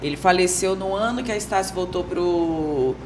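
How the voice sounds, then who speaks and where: Young Brazilian woman, podcast guest, lowish voice, casual talk into handheld mic, slight noise. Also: moderate pace. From Brazil, Rio de Janeiro and Mato Grosso do Sul. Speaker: guest, samba singer. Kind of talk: casual personal introduction. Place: small wood-panelled TV studio, indoors. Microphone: handheld microphone.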